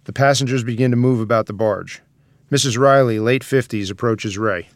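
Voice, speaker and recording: A man's voice: mid-range voice, normal speed, no room sound. A man reading scripted stage directions as the narrator of a screenplay table read.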